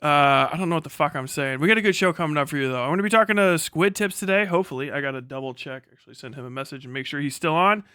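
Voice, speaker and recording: A man with a high, clear voice delivers loose livestream commentary. He talks like a showman one moment and rambles irreverently with plenty of swearing the next. The sound is dry and close, with a crisp, forceful edge. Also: racing, few pauses, no room sound. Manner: profane, slangy, irreverent. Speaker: live stream host.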